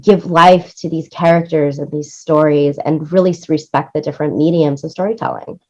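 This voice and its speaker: A woman's voice: low voice, fairly even intonation, normal speed, darkish tone, muffled recording. A woman being interviewed about her work in production, talking in an enthusiastic, rambling way. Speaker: guest, music supervisor and producer.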